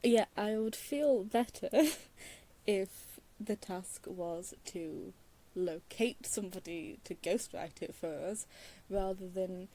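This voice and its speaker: A woman's voice: mid-range voice, slow, mellow. A woman giving casual let's play commentary on a video game in a hesitant, wry, giggly manner. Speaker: gamer commenting on a playthrough.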